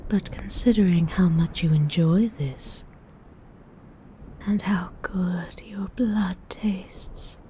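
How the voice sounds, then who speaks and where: A woman's voice: on the low side, dark tone, a little hiss or hum. A woman whispering as a haughty female vampire. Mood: indulgent. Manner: whispered, slow and lingering; haughty, formal phrasing. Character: predatory female vampire, aloof and possessive. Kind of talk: ASMR role-play audio.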